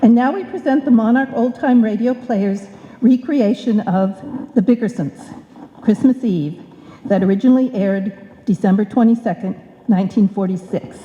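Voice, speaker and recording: A woman's voice: a little high, normal speed, mellow, slight background noise. A woman announcer reading scripted narration for a live old-time radio show. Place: indoor stage before a live audience. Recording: dull live recording in reverberant hall.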